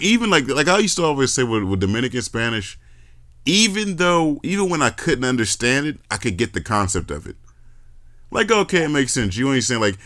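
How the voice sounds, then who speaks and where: A man hosting a podcast, chatting casually with lots of fillers and restarts. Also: mid-range voice. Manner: animated, many fillers and restarts. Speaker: podcast host. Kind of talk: casual interview chat.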